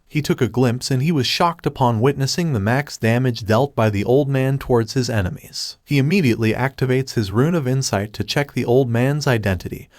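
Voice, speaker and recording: A man delivers a scripted recap narration of a story. His voice sits at an average male pitch and he reads dialogue in the same narrating voice. The voice-over is close and dry, with no room sound. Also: darkish tone, dry recording.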